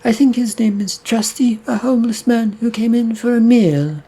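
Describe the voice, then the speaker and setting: A man's voice: extremely high pitch, normal speed, warm tone, husky, voice pushed up, exaggerated voice. Man putting on a panicked stammering woman. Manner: shaky, flustered, stammering.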